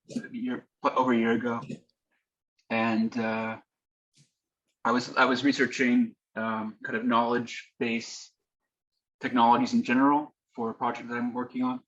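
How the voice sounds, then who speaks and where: A man's voice: medium pitch, a bit bright. Hesitant man, dull lo-fi call audio. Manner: hesitant, self-repeating. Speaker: book club member. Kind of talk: casual video call introduction. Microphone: video call.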